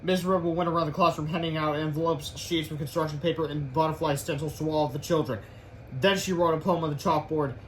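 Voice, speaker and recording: High-pitched man reading a children's book aloud. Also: steady tone, quick pace, a little hiss or hum, low-quality recording. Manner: stumbles over words while reading. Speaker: read-aloud storyteller.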